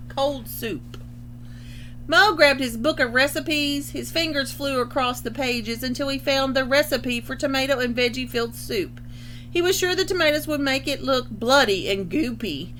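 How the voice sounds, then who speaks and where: Bright, high-voiced woman reading a picture book. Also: a little hiss or hum. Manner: expressive, explains words simply. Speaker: storybook reader. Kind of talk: children's picture book read-aloud with explanatory asides.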